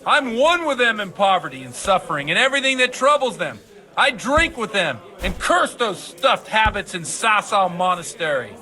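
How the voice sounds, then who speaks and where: A man's voice: high-pitched, very animated, moderate pace, bright, forceful tone, exaggerated voice. A man acting a hard-drinking, rebellious village priest in a video game, preachy and fervent in his delivery. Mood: defensive, worldly.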